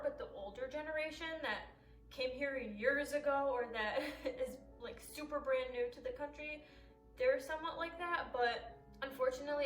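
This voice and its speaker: A young woman in her twenties, a native Arabic speaker raised in the United States, talks openly to her camera with a high, bright pitch. She chats easily and laughs often. The sound is roomy and a little distant from the mic, with faint music underneath. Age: 20s. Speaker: vlogger. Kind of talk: candid personal talk to camera.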